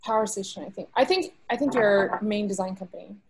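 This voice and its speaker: An upbeat woman chats on a video call about her work, at a mid pitch. Her tone is easy and conversational. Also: fairly quick, slight background noise. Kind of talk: casual chat.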